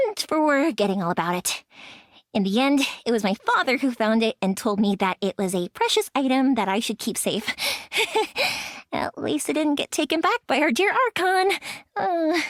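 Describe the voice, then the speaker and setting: A woman's voice: sits high, no room sound, studio quality. A woman voicing a cheerful, playful young fireworks maker in game voice lines, bubbly and teasing. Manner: bubbly, teasing, bursts of giggles. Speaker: game character.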